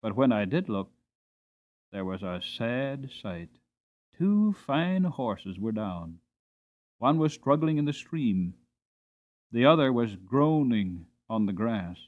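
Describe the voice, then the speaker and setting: Male audiobook narrator, highly varied intonation, mid pitch, dramatic and deliberate, on slightly muffled audio. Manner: expressive, deliberate phrasing. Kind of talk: dramatic scripted storybook narration.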